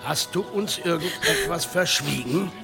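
Gravelly, stern village father. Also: a little high, unhurried, over music. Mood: pressing, worried. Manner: commanding, urgent. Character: protective, stern village father, middle-aged. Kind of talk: German audio drama acting.

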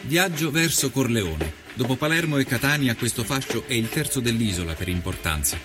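A man playing an observant nineteenth-century journalist, reading a reflective travel diary for a documentary in a measured, literary way. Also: medium pitch, big pitch swings, natural voice. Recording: music under the voice.

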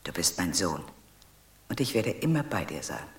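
A man's voice: sits low, big pitch swings, normal speed. A male actor voicing a possessive, eerily soothing parent from a nightmare in an audio drama. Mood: eerily tender. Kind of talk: theatrical audio drama acting. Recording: echoing, reverberant voice.

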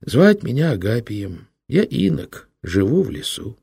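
A man voicing a gentle, talkative old male monk who lives in the forest, speaking softly and kindly. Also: medium pitch, big pitch swings, a little slow, vocal fry, breathy edge, no echo, voice pushed up, exaggerated voice. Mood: soothing. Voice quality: soft, kindly, soothing.

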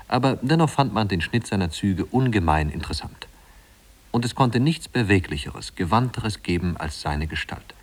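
Male storyteller for vintage radio drama, low register, composed, articulate literary narration. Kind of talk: literary scripted narration, 1950s German radio play.

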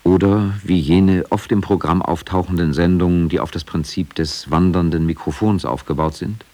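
A man's voice: deep voice, steady tone, moderate pace, a little mellow. A man reading scripted narration for a radio documentary.

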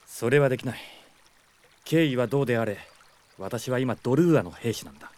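A man's voice: medium pitch, expressive, brisk, a little mellow, lightly acted. An actor playing a proud, stubborn young male soldier in a Japanese radio drama, speaking firmly and formally. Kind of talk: Japanese anime-style radio drama acting.

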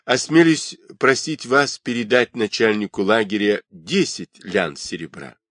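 A man's voice: fairly low pitch, measured pace, fairly bright tone, dry recording, clean studio sound. A man voicing a polite, humble exiled male instructor who speaks in a subdued way.

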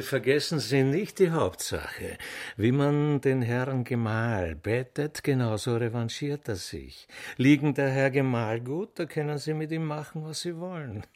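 A man's voice: mid-range voice, expressive, unhurried, close to an everyday voice. An actor playing a fawning furniture salesman in a radio play, with an Austrian accent, an unctuous buttery voice and gushing, insinuating delivery. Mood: gushing persuasion. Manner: gushing, servile, insinuating, with lingering emphasis. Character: smarmy, flattering male furniture salesman wooing a customer.

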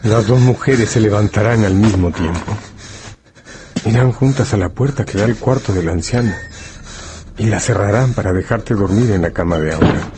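An actor playing a grave, intimate male narrator who addresses the hero directly in a dramatic, deliberate radio-novel style. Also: on the low side, noisy background, lightly acted. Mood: suspenseful.

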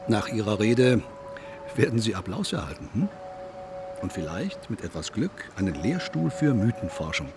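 An actor playing a cynical, coolly provocative man in a stylised radio play, smug, needling and deliberate. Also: lowish voice, very animated, music in the background. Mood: mocking, smug.

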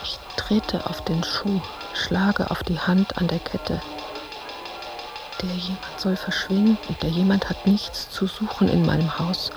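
An actress playing an intense, uneasy woman in a literary radio play, narrating her memories and fears in terse, clipped phrases with a creaky voice. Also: lowish voice, fairly even intonation, a little slow, over music. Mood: tense, watchful. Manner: terse, clipped phrases, at times near whispering.

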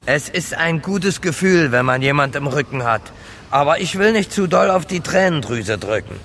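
Homeless street beggar, radio drama. Fairly high voice, modest and matter-of-fact, faint rumble behind. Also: male voice, animated, moderate pace, fairly bright tone. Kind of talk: radio drama, interview-like testimony.

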